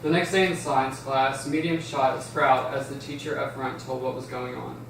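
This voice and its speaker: A man's voice: medium pitch, little pitch movement, moderate pace, fairly bright tone. A man narrating stage directions at a script table read, recorded in an echoey room from a distance. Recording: echoey room, distant mic, faint noise.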